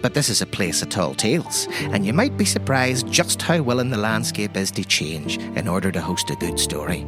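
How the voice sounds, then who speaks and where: An Irishman tells a tabletop story as game master with very animated pitch. His voice sits a little low and his style is wry and folksy, talking right to his listeners. Music plays behind him. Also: moderate pace. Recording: music under the voice.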